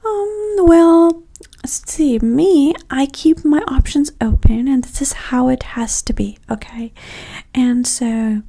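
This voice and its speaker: A woman doing a soft-spoken, often whispered ASMR roleplay as a vain, flirty date with a breathy, sassy, condescending manner. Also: sits high, slow pace.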